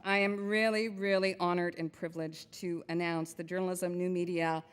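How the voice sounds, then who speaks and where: Woman presenter, low voice, playful and chatty, award speech, long hall reverb. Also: level tone, moderate pace, smooth. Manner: chatty, playful, building suspense. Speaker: program coordinator presenting an award. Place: event hall.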